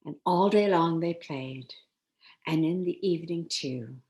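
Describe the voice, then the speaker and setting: A woman storyteller reading a children's tale expressively, with deliberate dramatic pauses. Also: low voice, darkish tone.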